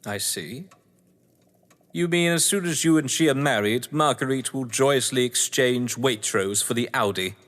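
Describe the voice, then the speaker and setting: A man's voice: medium pitch, unhurried, fairly bright tone, big-room sound, lightly acted. A man playing a suave, scheming, condescending English crime novelist in a theatrical audio drama, with wry, florid delivery. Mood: mocking concern. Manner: pompous, wry, florid phrasing.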